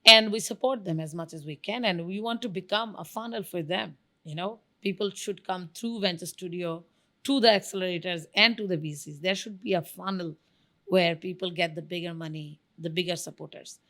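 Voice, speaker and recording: A woman's voice: lowish voice, a little fast, bright, forceful tone. Emphatic woman guest. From Bangladesh. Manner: emphatic and enthusiastic, lists examples. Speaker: guest, venture studio founder. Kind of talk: conversational interview answers.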